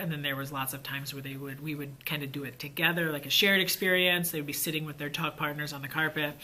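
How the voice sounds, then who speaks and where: A man's voice: on the high side, pushed and bright. A man who teaches fifth grade, chatting casually and enthusiastically with lots of fillers. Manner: enthusiastic, rambling with many fillers. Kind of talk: casual vlog chat.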